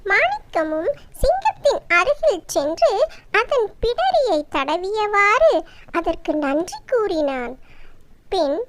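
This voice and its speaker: A children's storyteller narrating a bedtime tale in a dramatic, deliberate way. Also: very high voice, sing-song, a bit bright, slight background noise.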